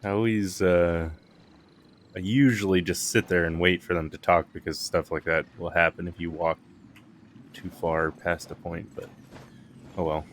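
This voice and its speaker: A man's voice: low-pitched, flat delivery, normal speed, long pauses, slight background noise. A man chatting casually as he streams a game live.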